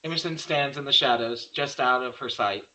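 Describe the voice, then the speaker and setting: A man's voice: mid-range voice, moderate pace, pushed and bright, low-quality recording. A man reading the stage directions of a horror screenplay in plain scripted narration.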